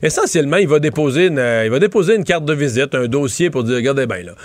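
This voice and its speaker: Animated middle-aged Québécois commentator. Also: male voice, a little high, quick pace, no room sound. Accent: Québécois French. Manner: rambling, animated, restarts mid-sentence. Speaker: political commentator. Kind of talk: casual political analysis chat.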